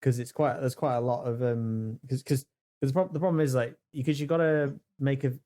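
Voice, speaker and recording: A man chatting casually on a podcast, halting and repeating words as he explains things. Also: medium pitch, steady tone, mellow. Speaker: podcast co-host. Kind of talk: casual chat.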